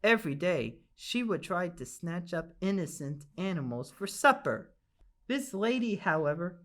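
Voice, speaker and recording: A woman narrating a children's storybook aloud in an enthusiastic, emphatic way. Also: deep voice. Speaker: storybook reader.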